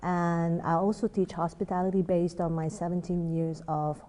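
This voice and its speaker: A woman's voice: on the low side, little pitch movement, normal speed, mellow. A middle-aged woman, a college instructor, answering interview questions thoughtfully with some restarts.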